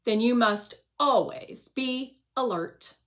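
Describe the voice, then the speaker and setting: Woman voicing a blunt, seasoned guardian machine, slow-spoken. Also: a little high, fairly bright tone, pitched above the performer's own voice. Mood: stern, knowing. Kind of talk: children's storybook read-aloud.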